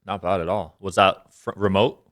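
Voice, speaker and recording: A man's voice: low voice, fairly even intonation, normal speed, fairly bright tone, no room sound, clean studio sound. A man hosting a podcast, chatting casually and reacting with short replies. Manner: laid-back, short reactions. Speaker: podcast host. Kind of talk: casual interview chat.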